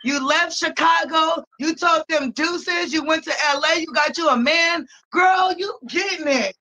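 A woman's voice: very high-pitched, a little slow, bright, forceful tone, shouting. A woman in her forties calling in on a video call, chatting casually in a giggly, rambling way. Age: 40s. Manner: giggly, rambling, exclaims often. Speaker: caller on a dating show. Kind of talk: casual chat.

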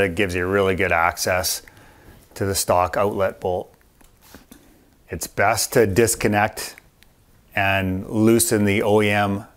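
Low-voiced man explaining a bike install. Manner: relaxed, plain-spoken, with fillers. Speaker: parts company host demonstrating an install. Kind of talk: casual how-to walkthrough. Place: workshop with a motorbike on a stand.

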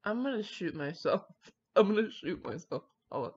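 A woman's voice: medium pitch, very animated, normal speed, a little mellow, slightly rough, lo-fi sound. A young woman chatting casually and rambling to her viewers in an irreverent, foul-mouthed, self-mocking manner. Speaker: host of a fan fiction reading channel.

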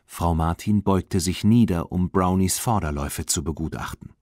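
A man narrating a children's audiobook in an expressive storytelling manner. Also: deep voice, moderate pace, dry recording, clean studio sound.

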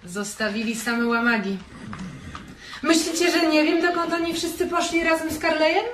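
A bored, brash wife in a radio play. Her voice is fairly high, taunting and scornful, set in a roomy recording with some noise. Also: normal speed, a bit bright. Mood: needling. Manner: mocking, provocative. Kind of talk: radio drama acting.

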